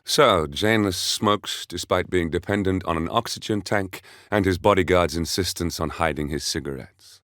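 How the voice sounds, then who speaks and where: A composed, brooding mercenary handler from a stealth video game. His voice sits low, and he delivers briefings in measured, understated phrases with a wry edge. The sound is dry and close, free of room echo. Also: fairly bright tone. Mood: grim, focused. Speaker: mission handler. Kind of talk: scripted video game voice acting, mission briefing.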